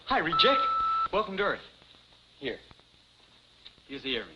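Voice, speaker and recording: An earnest, flustered young American in old TV comedy style. His boyish voice is high and jumps about as he stammers, over a dull, slightly noisy 1950s soundtrack. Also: wildly swooping pitch, moderate pace. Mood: eager. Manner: stammering, pleading.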